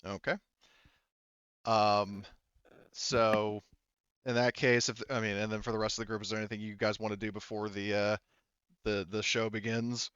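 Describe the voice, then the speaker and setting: A man narrating a tabletop roleplaying game casually as game master, in a halting, conversational way with repeated words. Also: lowish voice, level tone, fairly bright tone, clean tone, dry recording, highs cut off.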